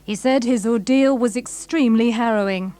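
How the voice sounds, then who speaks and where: A female newsreader reading a bulletin with brisk headline delivery. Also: fairly high pitch, warm tone. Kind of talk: news bulletin.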